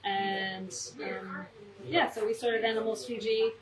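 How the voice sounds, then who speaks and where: American woman, earnest interviewee, far from mic, echoey space, loud crowd behind. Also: mid-range voice, fairly even intonation, measured pace. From United States. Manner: earnest, frequent ums and restarts. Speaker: animal welfare charity co-founder. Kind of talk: interview answers.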